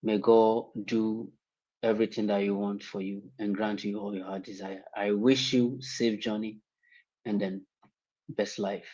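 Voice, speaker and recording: A man from Ghana offering a prayer and warm advice as a panelist on a livestream call. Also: lowish voice, flat delivery, muffled recording. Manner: earnest, slow, preacher-like phrasing. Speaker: guest panelist. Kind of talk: prayer and well-wishing on a livestream.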